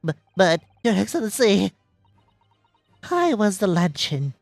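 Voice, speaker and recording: A streamer voicing formal, earnest courtroom gentlemen from a video game, with stilted courtesy. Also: medium pitch, very animated, unhurried, vocal fry, dry recording, faint music behind, voice pushed up. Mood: flustered, deferential. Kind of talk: dramatic read of a video game script.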